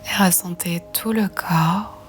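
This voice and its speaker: Slow, half-whispered woman guiding relaxation. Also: on the low side, fairly even intonation, faint music behind. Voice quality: soft, breathy, half-whispered. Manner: slow, soothing, gentle. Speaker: yoga nidra guide. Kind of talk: guided relaxation meditation.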